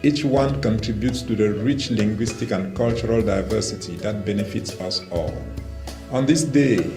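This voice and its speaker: A man from Cameroon with Romala as his first language speaks in a low voice, reading a prepared statement with formal, measured care. The sound is roomy and echoing. Faint music plays underneath. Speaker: language development representative. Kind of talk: prepared statement read aloud.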